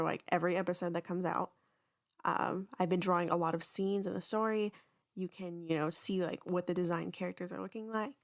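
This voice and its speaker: A woman hosting a podcast, talking casually and informally in a self-deprecating way. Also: medium pitch. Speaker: podcast host and creator. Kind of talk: casual chatty podcast announcement.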